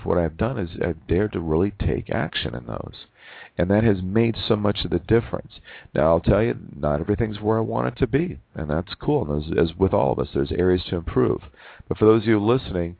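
A man in his forties, hosting a reflective personal monologue, who talks haltingly with many restarts and repeated words. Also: fairly low pitch, creaky, breathy edge, dry recording. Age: 40s. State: losing his voice from overwork. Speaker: podcast host. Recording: narrow phone-like sound.

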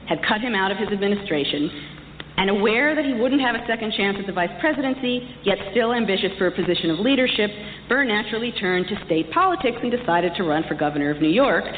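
Woman, public lecture, emphatic delivery, clear articulation, heavy room echo, some background noise. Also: medium pitch. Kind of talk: prepared history lecture delivered to an audience. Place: large reverberant hall. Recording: echoey hall, muffled, some background noise.

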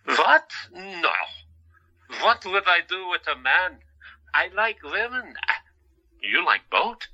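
A man acts out a playful asylum patient with a wry, melancholy streak, in an audio drama. His voice is very bright and forceful, speaking slowly with halting, teasing pauses. The recording is slightly dull. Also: high-pitched, sing-song, exaggerated voice.

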